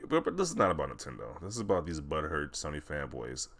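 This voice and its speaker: A very low-pitched man gives casual commentary on social media posts. His delivery swings widely up and down, with a mocking, incredulous edge. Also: wildly swooping pitch, quick pace, vocal fry, a bit bright. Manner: mocking, incredulous, often chuckling. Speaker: video host commentator.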